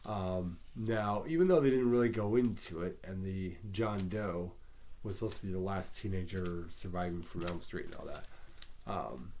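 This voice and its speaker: Stuffy-nosed man with a low voice. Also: moderate pace, a little mellow, a little hiss or hum. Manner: rambling, self-deprecating, many ums and restarts. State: congested from a head cold. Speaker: fan pitching movie sequel ideas. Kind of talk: casual solo monologue.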